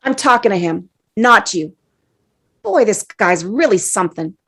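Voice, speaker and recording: A woman's voice: medium pitch, expressive, a little slow, fairly bright tone, husky, exaggerated voice. A woman playing a brash, impatient, sarcastic male juror in a scoffing, exclamatory way at a dramatic table read. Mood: irritated.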